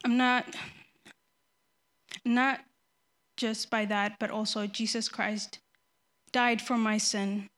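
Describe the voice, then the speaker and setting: A nervous young woman from Ethiopia speaks in a slightly high voice, halting and restarting as she gives her faith testimony. Her delivery is slow and unhurried. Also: steady tone, a bit bright. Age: young adult. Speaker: baptism candidate giving testimony.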